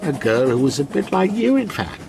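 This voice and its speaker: An actor playing a genial, faintly unsettling man who teases as he tells a ghost story in a radio drama, in a measured, coy way. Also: fairly high pitch, sing-song, background noise. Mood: storytelling.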